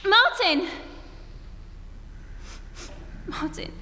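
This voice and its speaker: A woman playing a worried, loving, gently persuasive sweetheart in an old-time radio drama, earnest and pleading, on stage in a hollow, hissy hall. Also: extremely high pitch, animated, measured pace. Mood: alarmed. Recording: hollow hall recording with hiss.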